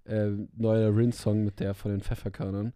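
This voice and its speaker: A young man co-hosting a podcast in casual chat, a bit hoarse from being ill, rambling and slangy. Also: on the low side, little pitch movement, moderate pace, warm tone, no echo, clean studio sound. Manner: slangy, rambling, self-interrupting. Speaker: podcast co-host.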